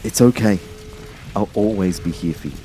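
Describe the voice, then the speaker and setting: A man's voice: fairly low pitch, moderate pace, faint music behind, natural voice. A young man playing an anxious narrator of his own out-of-body ordeal in an audio drama. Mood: tense, reflective. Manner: expressive, shifting from hushed narration to urgent pleading. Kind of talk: first-person audio drama narration with acted dialogue.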